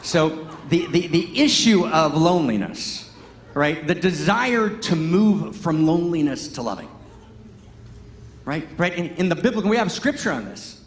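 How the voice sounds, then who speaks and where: A man's voice: fairly high pitch, sing-song, measured pace, slight background noise. Chatty man preaching in an echoey hall. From Pittsfield, Massachusetts, US. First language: Hebrew. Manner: conversational, peppered with "you know" and "right?", building to emphatic passages. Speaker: guest teacher at a Sunday service. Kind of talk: sermon told as humorous personal story. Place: large church hall. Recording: echoey church hall with faint ambience.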